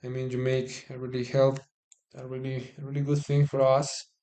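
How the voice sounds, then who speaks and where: A man from Colombia with a Colombian Spanish accent, whose first language is Spanish, gives casual live-stream chess commentary. He is chatty and halting, repeats words, and talks to his viewers, recorded on a phone. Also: mid-range voice, monotone, dark tone.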